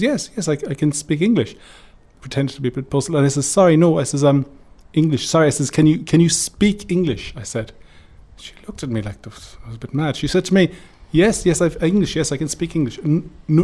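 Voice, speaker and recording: Irish man, guest, quick, jokey storyteller. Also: on the high side. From Ireland. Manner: jokey, animated anecdote telling. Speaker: interview guest. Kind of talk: casual storytelling.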